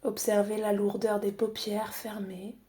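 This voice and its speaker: A woman guiding a body scan meditation in a calm, unhurried, soothing manner with long pauses. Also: medium pitch. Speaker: psychologist guiding a relaxation exercise.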